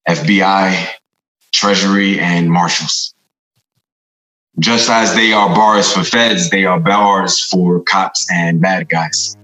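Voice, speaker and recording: An actor with an American accent playing a guilt-ridden, hard-drinking former Marshal and veteran, brooding and halting through a confessional dramatic monologue. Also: male voice, sits low, bright, forceful tone, full character voice. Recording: roomy echo under music.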